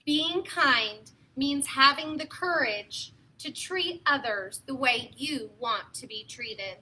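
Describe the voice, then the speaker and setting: A woman hosting story time, reading aloud and talking to young children in an encouraging, expressive way with simple phrasing. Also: high-pitched, slow, low-quality recording.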